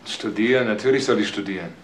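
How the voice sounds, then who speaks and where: An actor playing a sullen, resentful grown son under suspicion in a German crime audio play, speaking tersely. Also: male voice, on the low side, fast, reverberant, close to an everyday voice. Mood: wounded. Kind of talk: German radio crime drama acting.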